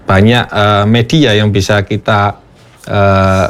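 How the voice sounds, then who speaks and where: A man speaking as a guest on a panel, measured and hesitant, with frequent fillers. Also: lowish voice, flat delivery, smooth. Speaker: panel guest, village tourism practitioner. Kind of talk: panel discussion.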